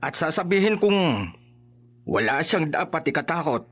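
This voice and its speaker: An actor playing a worried, well-meaning grandfather in a horror radio drama, hesitant and expressive. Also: on the high side, normal speed, breathy edge, heavily acted. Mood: reassuring. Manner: hesitant, expressive, musing aloud.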